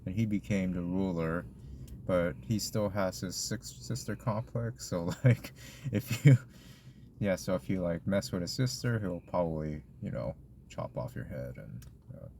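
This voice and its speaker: Deep-voiced man rambling casually. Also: animated, measured pace, vocal fry, warm tone, a little hiss or hum. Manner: rambling, lots of likes and ums. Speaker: podcast co-host. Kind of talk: casual chat recapping a convention.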